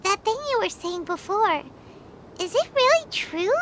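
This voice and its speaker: A woman voicing a tiny, childlike fairy companion in a video game, inquisitive and excitable, with a squeaky voice. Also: measured pace, slight background noise, exaggerated voice. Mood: hesitant, curious. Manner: exclamatory, speaks of herself in third person. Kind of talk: cartoonish video game voice acting. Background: prominent game music.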